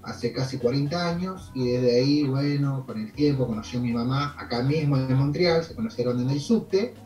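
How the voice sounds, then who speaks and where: A Canadian man, French his first language, speaking with an Argentine accent as an immigration consultant in a video call interview, telling stories in a relaxed, rambling way with fillers. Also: medium pitch, normal speed, roomy sound, soft background music.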